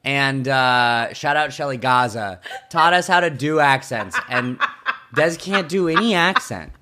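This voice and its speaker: A man's voice: mid-range voice, expressive, measured pace, bright, forceful tone. A young American man co-hosting a sports podcast in casual banter, with wry, teasing asides.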